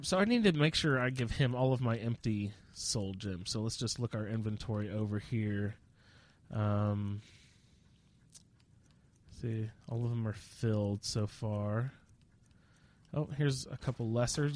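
A man's voice: lowish voice, darkish tone. A man giving casual, rambling gameplay commentary, self-deprecating and jokey, sniffling a little with a slight cold. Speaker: gamer narrating own playthrough.

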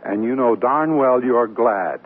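An actor playing a wry, mildly sheepish newlywed husband in an old-time radio comedy, bantering. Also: male voice, medium pitch, measured pace, narrow, tinny audio, natural voice. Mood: wry, placating. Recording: thin, hissy vintage radio recording.

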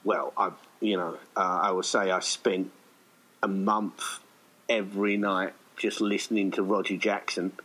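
Low-voiced man, rambling casually. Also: little pitch movement, unhurried, a bit bright, breathy edge, poor audio. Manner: rambling, hesitant, thinking aloud. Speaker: writer-director of an audio play being interviewed. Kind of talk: casual interview chat.

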